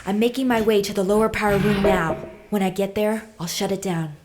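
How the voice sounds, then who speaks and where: A woman's voice: mid-range voice, steady tone, fairly quick, noisy background, close to an everyday voice. An actress playing a grave, determined woman secret agent in a video game cutscene. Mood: focused.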